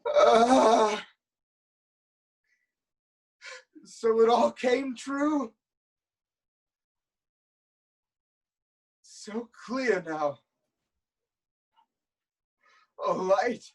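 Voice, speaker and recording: A man's voice: very high-pitched, slow pace, heavily acted. An actor playing a proud, anguished king in a theatrical reading of Greek tragedy.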